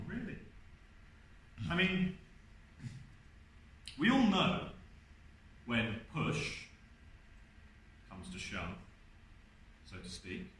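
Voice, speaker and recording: A wry, defiant ageing man played by a young man confides in a theatrical monologue, repeating himself haltingly. The voice is fairly high and animated, heard from a distance in a very reverberant hall. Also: heavily acted. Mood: insistent, wry. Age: young adult. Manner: confiding, rhetorical, halting repetitions. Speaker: drama student performing a monologue. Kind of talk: theatrical first-person stage monologue. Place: indoor university event space, on stage. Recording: distant, very echoey hall, faint noise.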